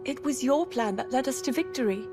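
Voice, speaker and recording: A woman playing an earnest, gentle and resolute young princess in a video game. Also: high-pitched, fairly quick, natural voice. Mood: earnest, curious. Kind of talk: video game cutscene voice acting. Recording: music behind the voice.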